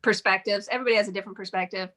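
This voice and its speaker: Bright-voiced chatty woman in her forties. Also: mid-range voice, fairly even intonation, very fast. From Michigan. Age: 40s. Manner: chatty, rambling, laughs often. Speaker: interview guest, modeling agency owner. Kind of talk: casual interview chat.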